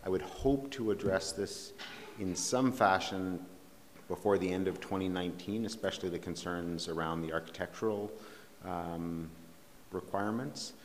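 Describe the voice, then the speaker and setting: Brief: deep-voiced male staffer, matter-of-fact, in an echoing chamber. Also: moderate pace. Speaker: town planning staff. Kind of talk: formal meeting answer. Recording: reverberant room, off-mic, faint hiss.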